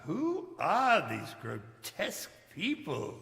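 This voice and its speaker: An actor playing a fearful, repentant old miser in a stage radio play, stammering and pleading in theatrical tones. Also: male voice, sits high, wildly swooping pitch, gravelly, music in the background, full character voice. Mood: bewildered, earnest. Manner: halting, stammering, earnest and theatrical. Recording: echoing live hall stage recording.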